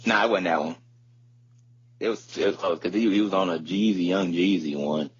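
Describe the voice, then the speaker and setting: A man's voice: low voice, moderate pace, husky. A man co-hosting a podcast in casual, slangy chat, agreeable and often repeating himself. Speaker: podcast co-host. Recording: dull, slightly lo-fi microphone sound.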